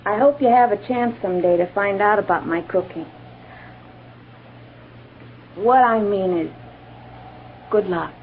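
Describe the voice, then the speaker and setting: A plucky, forthright frontier woman speaks directly in a creaky, mid-range voice, performed by an actress. The sound is that of a 1950s radio western, narrow and boxy with faint hiss. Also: mid-range voice, moderate pace, close to an everyday voice. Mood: softened. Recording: muffled, hissy old-time radio recording.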